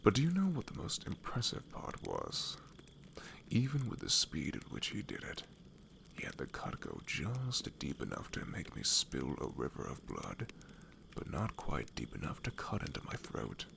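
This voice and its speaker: A deep-voiced older wanderer played by a man in a fantasy audio roleplay. He is wry, worldly and teasing, with heavy gravel, very animated pitch and a knowing, unhurried manner that often drops toward a hush. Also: whispered, heavily acted. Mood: assured, storytelling.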